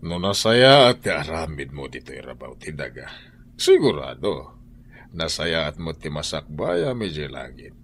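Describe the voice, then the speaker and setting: A man's voice: rumbling low, very animated, normal speed, fairly bright tone, full character voice. An actor with an Ilocano accent playing a stern wealthy husband who lectures his wife in a radio drama. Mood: preaching.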